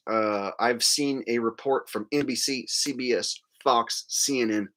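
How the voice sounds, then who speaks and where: A man hosting a livestream, delivering a casual, crude, mocking rant in a monologue. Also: mid-range voice. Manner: mocking, crude, acting out mimicry. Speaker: livestream host.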